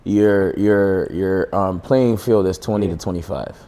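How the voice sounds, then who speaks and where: A man's voice: low-pitched, measured pace, warm tone, big-room sound. A young man in a casual group chat, insistently restarting phrases to hold the floor.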